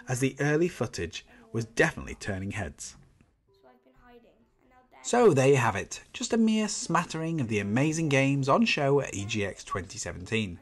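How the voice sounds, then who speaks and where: A man with a stuffy nose from a cold, reading an enthusiastic, upbeat scripted game roundup as a channel host. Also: mid-range voice. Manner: enthusiastic, upbeat review delivery.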